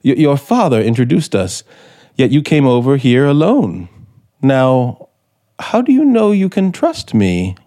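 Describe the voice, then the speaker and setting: An actor playing a brooding, manipulative scriptwriter in 1950s radio suspense style, probing deliberately with dramatic pauses. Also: male voice, medium pitch, sing-song, mellow. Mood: intense, testing. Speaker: actor in the lead role. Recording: 1950s radio broadcast, music behind.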